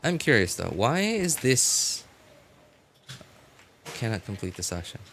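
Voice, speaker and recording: A man's voice: medium pitch, sing-song, measured pace, fairly bright tone, slight background noise. A man live-streaming a game with casual commentary, chatting to viewers in a rambling way, often repeating and rephrasing himself.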